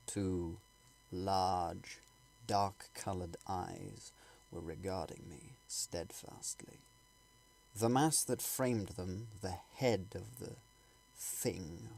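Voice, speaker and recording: Male audiobook reader, lowish register, highly animated intonation, theatrical and suspenseful delivery with deliberate phrasing. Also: gravelly, rough recording. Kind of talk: dramatic scripted narration of a classic novel.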